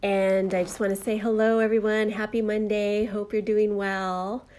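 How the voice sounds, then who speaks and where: A woman with a slightly nasal voice from a cold, hosting a live online talk show and speaking in a gentle, heartfelt way with many fillers. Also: mid-range voice, flat delivery, normal speed. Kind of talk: casual live-stream monologue.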